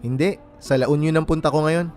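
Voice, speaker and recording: A man's voice: on the high side, fairly even intonation, brisk, a little mellow, faint music behind, lightly acted. Lightish-voiced, easygoing young guy played by an actor. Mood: matter-of-fact. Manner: casual, teasing. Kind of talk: radio romance drama dialogue.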